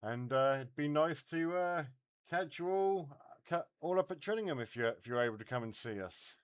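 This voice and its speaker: A man hosting a CB radio net and live stream in a casual, halting way. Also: on the high side, animated, smooth.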